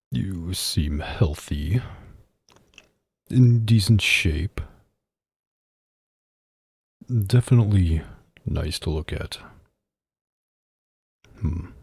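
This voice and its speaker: A cold mafia boss, acted by a man for an ASMR roleplay, with a low, extremely breathy and husky voice. He gives orders slowly and possessively, keeping his menace controlled and close to the listener. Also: a little mellow, dry recording, exaggerated voice. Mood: appraising, controlled, then possessive, commanding. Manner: slow, stern, menacing and controlled, with long pauses.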